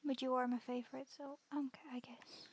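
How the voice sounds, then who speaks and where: A woman's voice: high voice, level tone, fairly quick, husky. A woman streaming a video game, chatting casually and talking back to the characters on screen. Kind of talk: casual gameplay commentary. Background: game music.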